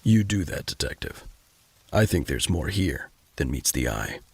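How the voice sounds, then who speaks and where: A man's voice: extremely low pitch, very animated, measured pace, husky, no echo, heavily acted. A man playing a grim, terse vigilante detective in an audio drama, with a curt, commanding, brooding manner. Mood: terse, dry. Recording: loud music under the voice.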